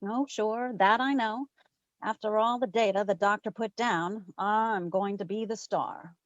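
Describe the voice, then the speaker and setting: A woman's voice: mid-range voice, fairly even intonation, a little slow, no room sound, natural voice. A woman playing a breezy, flirtatious female private eye, trading quips in a 1940s-style radio drama. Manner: flip, teasing banter. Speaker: radio drama lead and narrator. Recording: slightly dull lo-fi recording.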